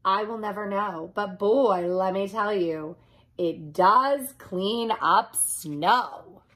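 A woman in her thirties reading a children's story aloud in an expressive, deliberate way, stretching key words. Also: medium pitch. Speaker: childcare reader. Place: small furnished room at home, indoors.

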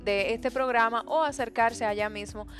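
A middle-aged woman hosting a studio TV interview, brisk and guiding, on a headset mic. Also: fairly high pitch, a bit bright, soft background music. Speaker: TV show host. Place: TV studio set.

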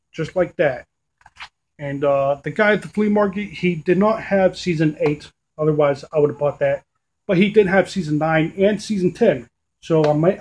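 A man's voice: fairly high pitch, a little mellow. A man in his thirties casually showing off his DVD finds at home, rambling with fillers and restarts, recorded on a webcam. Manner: rambling, with frequent fillers and self-corrections. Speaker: DVD collector vlogger. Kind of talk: casual video update. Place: small room at home, indoors.

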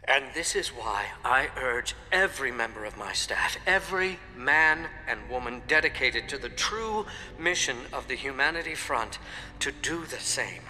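An actor playing a polished organisation leader in a video game, giving an earnest, solemn, pleading public speech. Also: male voice, medium pitch, animated, moderate pace, pushed and bright. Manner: oratorical, earnest. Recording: long hall reverb, music over speech.

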